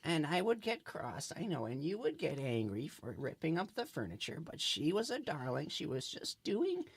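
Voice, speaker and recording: A performer voicing an anxious, doting housewife who soothes and pleads with a breathy, creaky voice in an improvised radio comedy. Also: medium pitch, huge pitch swings, moderate pace, full character voice. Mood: doting, wistful.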